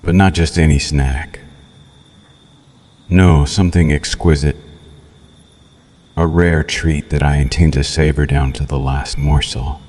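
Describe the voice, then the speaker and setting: A man's voice: very deep voice, fairly even intonation, darkish tone. A man playing a cruel, seductive vampire in an ASMR roleplay, taunting the listener with formal, theatrical menace. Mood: hungry, seductive. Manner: slow, theatrical, mocking, menacing purr with formal diction.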